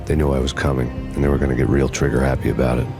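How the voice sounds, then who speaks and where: A hardboiled noir cop narrates in a gravelly, deadpan monotone. His voice is extremely deep and barely moves, with music underneath. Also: racing.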